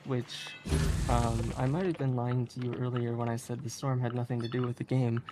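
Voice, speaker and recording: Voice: mid-range voice, little pitch movement, normal speed. A speedrunner giving casual live commentary on a game, often giggling while talking. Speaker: speedrunner playing and explaining a game. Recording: clearly noisy live stream audio.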